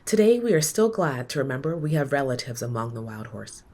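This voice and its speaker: A woman reading a children's storybook aloud in an expressive, measured storytelling manner, letting her phrases end softly. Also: rumbling low. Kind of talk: storybook read-aloud, scripted narration.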